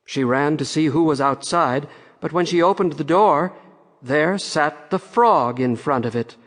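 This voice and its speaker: A man narrating a fairy-tale audiobook in a measured storytelling way, with long reverb on the recording. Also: fairly high pitch.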